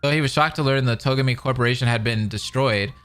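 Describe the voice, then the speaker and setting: A man's voice: mid-range voice, fast, a bit bright, clean tone. Guy streamer reading a story and reacting, hyped and slangy, bit of music behind him. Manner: casual, slangy, enthusiastic. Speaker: streamer reacting to and reading a fan-dubbed visual novel. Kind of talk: live reaction commentary and read-aloud narration.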